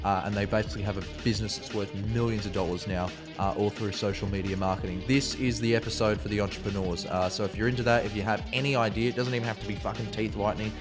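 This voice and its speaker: A young Australian man talks as a podcast host in a relaxed, joking way and swears freely. His voice sits a bit low, and he mixes offhand asides into his questions. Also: fast talker, few pauses, over music. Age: young adult. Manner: swears often, loose and jokey. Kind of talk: casual interview chat.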